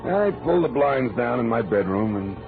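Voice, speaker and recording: An actor playing a wry, world-weary American private detective in 1940s radio noir, with sardonic, slangy narration. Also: male voice, mid-range voice, animated, normal speed, creaky. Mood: weary, reflective. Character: wry, hard-boiled male private detective, narrating his cases. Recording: muffled vintage radio recording, music behind.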